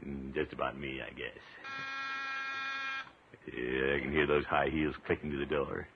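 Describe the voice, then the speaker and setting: An actor playing a pompous, jovial, food-loving middle-aged uncle in a 1950s radio comedy. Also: male voice, very deep voice, flat delivery, measured pace. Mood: fond musing. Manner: pompous, blustery, chuckling. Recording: tinny, noisy vintage radio recording.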